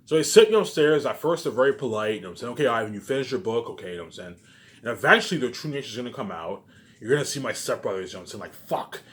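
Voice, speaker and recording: A man's voice: mid-range voice, expressive, rapid-fire, fairly bright tone. A man delivering a rambling, unscripted monologue to viewers, ranting and swearing, and often repeating himself and the same verbal tags. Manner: repetitive, with frequent verbal tags; profane, ranting. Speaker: vlogger.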